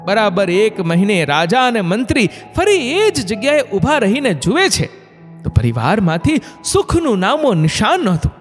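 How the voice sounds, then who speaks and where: A man narrating a scripted moral story in an expressive, dramatic way. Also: high voice, moderate pace. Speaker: narrator of motivational stories. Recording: long hall reverb, music bed. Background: background music.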